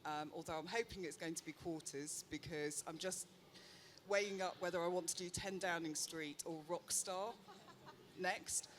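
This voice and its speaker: A woman's voice: on the low side, fairly even intonation, normal speed. Woman executive, conversational panelist, faint hiss in the room. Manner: chatty, self-deprecating, frequent ums. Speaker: panel speaker, chief executive. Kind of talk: live panel discussion. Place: conference stage. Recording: faint noise, live event ambience.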